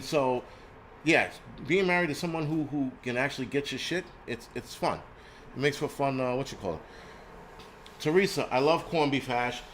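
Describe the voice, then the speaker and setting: A man's voice: mid-range voice, a bit bright, a little hiss or hum. Blunt, profane New man in his forties. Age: 40s. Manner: rambling with frequent restarts. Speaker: host. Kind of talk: casual live-stream talk.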